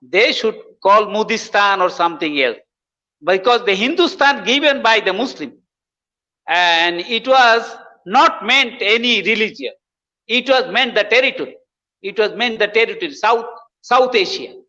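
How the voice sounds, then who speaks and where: A man's voice: high-pitched, expressive, normal speed, pushed and bright. A man from Bangladesh, explaining his views as a guest professor and repeating phrases for emphasis. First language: Bengali. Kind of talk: explanatory interview talk. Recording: dull lo-fi audio with long reverb.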